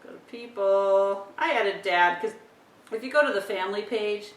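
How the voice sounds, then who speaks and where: A woman thinking aloud as she gives casual instruction, presenting how to use a communication device. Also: normal speed. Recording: roomy, distant mic with rumbling noise.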